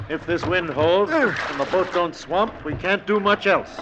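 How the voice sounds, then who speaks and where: An actor playing a steady, fatherly, commanding shipwrecked sea captain in an old-time radio drama. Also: high-pitched, measured pace. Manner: authoritative, fatherly, calm. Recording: muffled, noisy old radio recording.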